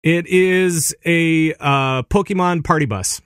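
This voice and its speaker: A man's voice: a little high, slow pace, a bit bright, clean tone, no echo, clean studio sound. A man co-hosting a podcast, talking casually and rambling, with frequent restarts and easy laughter. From Minneapolis area, USA. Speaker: podcast co-host.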